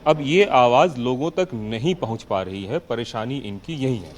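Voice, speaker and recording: A man's voice: mid-range voice, lively intonation, moderate pace. Male news reporter, mid-range voice, vox-pop interviewing outdoors with light background noise.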